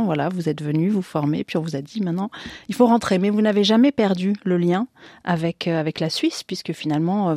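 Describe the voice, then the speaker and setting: A woman hosting a studio interview, asking long measured questions with frequent fillers. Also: on the low side, darkish tone, dry recording. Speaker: radio host. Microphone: studio microphone.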